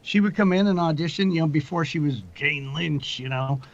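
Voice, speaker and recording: Higher-pitched middle-aged man, muffled recording. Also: fairly quick. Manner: tells stories by acting out quoted dialogue. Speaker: podcast guest, audio drama producer. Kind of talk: casual anecdotal interview chat. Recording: dull, lo-fi call audio.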